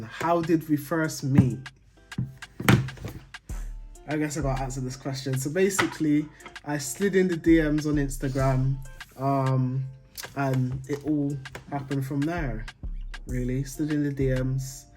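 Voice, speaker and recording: A young man from Jamaica, a new father, chatting casually with his partner in a relaxed, laughing couple's Q&A, his voice often trailing off quietly. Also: medium pitch. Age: young adult.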